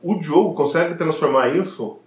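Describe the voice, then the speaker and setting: A Brazilian man talking casually about a book, pausing and hunting for words with frequent tag questions. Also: on the high side. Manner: searching for words, many fillers and tag questions. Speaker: commentator on a book. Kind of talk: casual chat. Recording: echoey room with faint noise.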